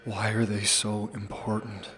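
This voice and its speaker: Sci-fi audio drama rebel, male actor: brooding, hushed, unhurried delivery, narrow intonation. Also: lowish voice, monotone, soft background music. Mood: urgent, questioning. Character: tense young man, human rebel guiding fugitive androids.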